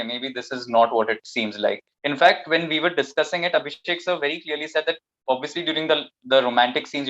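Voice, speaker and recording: A man's voice: medium pitch, fairly bright tone. A man with an Indian accent, a guest actor explaining his thoughts conversationally, heard through a dull, muffled microphone. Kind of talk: casual interview chat.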